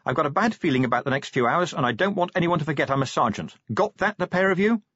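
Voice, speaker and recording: A man's voice: on the high side, expressive, fast, a bit bright, slightly rough, highs cut off, voice pushed up. A man voicing a commanding female werewolf police sergeant in an audiobook, curt, bossy and exasperated. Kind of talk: audiobook character voice.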